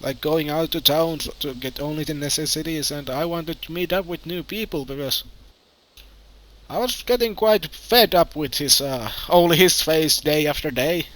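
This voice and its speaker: A man chats in character during a survival roleplay. His voice sits a little high. He talks haltingly, restarting sentences and filling gaps with uhs. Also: a bit bright, poor audio. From Finland. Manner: hesitant, many uhs and restarts. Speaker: roleplay player. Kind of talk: in-character game roleplay chat.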